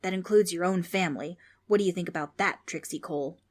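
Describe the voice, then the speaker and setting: A woman reading aloud, voicing a loud, scornful male ghost who mocks children. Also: on the low side, quick pace, lightly acted. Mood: mocking. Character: bossy male ghost, gruff and mocking.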